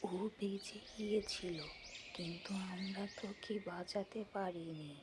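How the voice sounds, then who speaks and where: Woman playing a fussing grandmother over loud noise. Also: mid-range voice, fairly even intonation, measured pace, lightly acted. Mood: stern. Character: anxious, scolding, doting village grandmother. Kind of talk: children's folk-tale cartoon voice acting.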